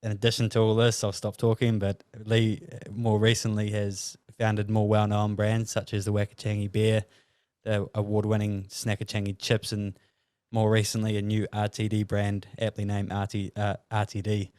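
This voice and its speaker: A man with a New Zealand accent hosting a podcast, reading a guest introduction with many halting fillers. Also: on the low side, completely flat delivery, a little mellow, smooth, no echo, clean studio sound. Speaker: podcast host. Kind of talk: casual podcast interview and introduction.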